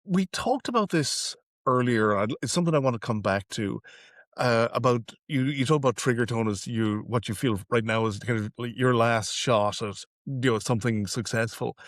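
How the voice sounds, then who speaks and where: A man with a medium-pitched voice hosts a podcast interview. He speaks conversationally, in halting, deliberate pieces, and the recording is dry with very little room sound. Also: dry recording.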